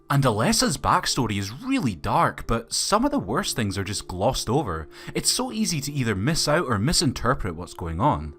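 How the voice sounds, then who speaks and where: A man's voice: medium pitch, a bit bright. A man reading a scripted film review as a video reviewer, with opinionated, colloquial phrasing.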